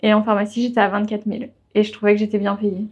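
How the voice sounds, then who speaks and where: A woman's voice: mid-range voice, little pitch movement, fast, darkish tone, husky, muffled recording. A young woman podcast guest, telling her own story in a casual, conversational way. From of Portuguese family background. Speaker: podcast guest, freelance web writer.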